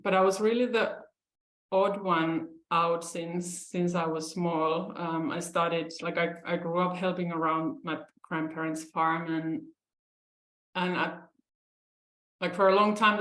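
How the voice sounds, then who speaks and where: A woman's voice: on the low side, fairly bright tone, roomy sound, rough recording. A woman from Finland co-hosting a casual chat, talking hesitantly with many fillers. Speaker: podcast co-host.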